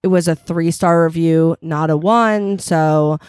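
A woman co-hosting a casual chat show, talking in a playful, animated way. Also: deep voice, measured pace, smooth, no echo, studio quality. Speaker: co-host.

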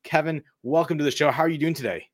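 A man's voice: a little high, fast. Friendly male podcast host. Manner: friendly, informal. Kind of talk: casual interview and introductions.

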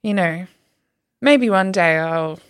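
A woman's voice: on the low side, slow pace, clear, resonant tone, no room sound, clean studio sound. A woman, a yoga teacher and business owner, chatting casually and thoughtfully. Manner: chatty, thoughtful, trails off mid-sentence. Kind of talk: casual conversation.

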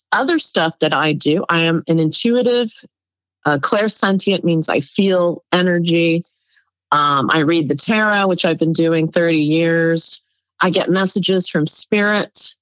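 A woman's voice: on the low side, dry recording, poor audio. A middle-aged woman from New York City whose first language is Spanish, telling stories as a guest in a casual interview. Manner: chatty storyteller, lively laughs. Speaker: guest psychic.

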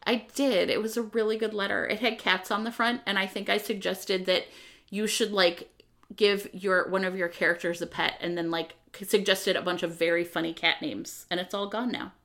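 A woman talks casually on a podcast in a medium-pitched voice with a bright, crisp edge. She tells anecdotes informally, building them up in short, loose pieces. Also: fairly even intonation, normal speed. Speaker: podcast guest or co-host. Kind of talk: casual chat.

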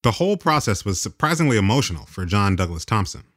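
A man's voice: lowish voice, big pitch swings, fast. A man hosting a radio documentary, delivering scripted narration and interview questions. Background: music.

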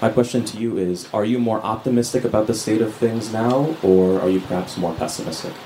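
A man's voice: on the low side, a little fast. A man asking a prepared question from the audience at a live event. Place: indoor live event venue. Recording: echoey venue sound with clear background noise.

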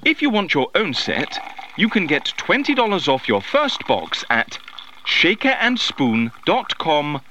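Animated, theatrically enthusiastic fellow. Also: male voice, on the high side, a little slow, dull audio, a little hiss or hum, heavily acted. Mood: gleeful, excited. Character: excitable middle-class man leaving a playful message for a friend. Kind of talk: in-character scripted advert.

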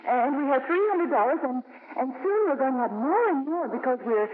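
A woman's voice: high voice, expressive, a little fast, long reverb tail, a little hiss or hum. A woman playing an earnest, stubbornly hopeful nun in a 1950s radio drama, eager, deferential and easily flustered. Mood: pleading, flustered. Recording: muffled old radio broadcast recording.

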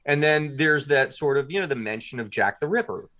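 A man being interviewed as a guest, telling stories casually in a self-deprecating, animated way with quoted asides. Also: on the high side, fairly quick.

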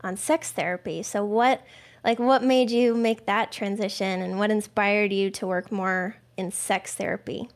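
A woman's voice: medium pitch, steady tone, a little slow. Conversational woman interview host. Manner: open, conversational, shares her own recovery story. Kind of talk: casual interview chat.

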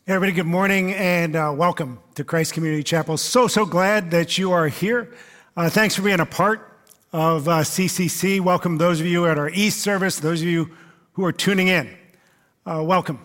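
A man's voice: high voice, fairly even intonation, moderate pace, fairly bright tone. A male pastor, who says he is losing his voice, gives a casual, conversational sermon with many fillers.

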